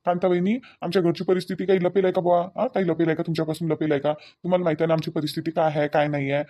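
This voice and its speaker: A hot-tempered village husband, acted by a man, with a high voice and quick delivery. He scolds in repeated questions, in a rural Vidarbha Marathi accent. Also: level tone, dark tone, natural voice. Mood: exasperated. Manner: scolding, repetitive rhetorical questions. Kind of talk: amateur Marathi village family drama.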